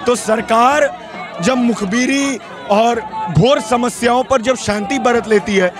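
A man's voice: very high-pitched, takes it slowly. A young male student activist giving fiery answers into a handheld mic at an outdoor street protest. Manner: forceful, fiery political speech. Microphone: handheld reporter mic. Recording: outdoor protest with crowd behind. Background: crowd noise.

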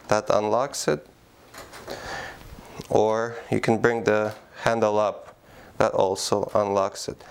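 A man giving a plain, practical how-to demonstration, pausing often with small fillers. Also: mid-range voice, clean tone. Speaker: product demonstrator. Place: indoor room by a door.

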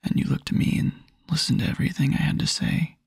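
A man playing a tender, wistful young boyfriend in an intimate ASMR roleplay, murmuring softly and haltingly in a husky near-whisper. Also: medium pitch, flat delivery, no room sound, studio quality, exaggerated voice. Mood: flustered, yearning. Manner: soft, halting, intimate murmur with small chuckles. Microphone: close microphone, dry.

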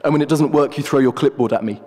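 A man's voice: medium pitch, fast, mellow, big-room sound, close to an everyday voice. A man voicing a tired young woman, the narrator's girlfriend. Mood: weary.

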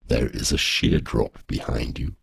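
A man reading a story, voicing a huge female dragon who speaks slowly with smug, rumbling menace. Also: gravelly, fairly bright tone, slightly rough, no echo, clean studio sound, full character voice. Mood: menacing. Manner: slow, rumbling, gloating.

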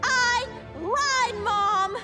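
Very high, defiant teenage girl. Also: drawn-out words, echoey room, heavily acted. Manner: shouting, defiant. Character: defiant, hot-tempered thirteen-year-old girl. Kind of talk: animated film acting. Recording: loud film music behind voice.

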